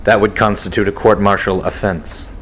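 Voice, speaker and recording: A man's voice: lowish voice, little pitch movement, a bit bright, a little hiss or hum, lightly acted. A man playing a weary fighter colonel. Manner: solemn and measured, dryly blunt in private. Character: grieving, weary male fighter-wing colonel. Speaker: colonel and squadron commander. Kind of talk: fan audio drama acting.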